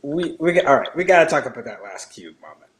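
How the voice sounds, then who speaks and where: A man's voice: a little high, lively intonation, fairly quick, a bit bright, a little hiss or hum. A man co-hosting a casual podcast discussion, speaking hesitantly with lots of fillers. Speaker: podcast co-host. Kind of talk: casual discussion over a call.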